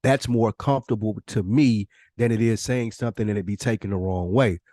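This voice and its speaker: A man with a slightly low voice talks casually as a podcast co-host. He is blunt and slangy, swears freely and repeats himself to drive points home, in a very dry recording. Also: fairly quick, warm tone, dry recording. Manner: blunt, profane, slangy, emphatic repetition.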